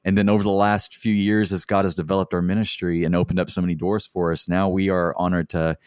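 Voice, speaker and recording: A man's voice: low-pitched, level tone, quick pace, darkish tone, clear, resonant tone, no echo, clean studio sound. A man in his twenties with a Texan accent, telling family stories casually and with good humor as an interview guest. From Texas, United States. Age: 20s. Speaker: interview guest, gospel singer.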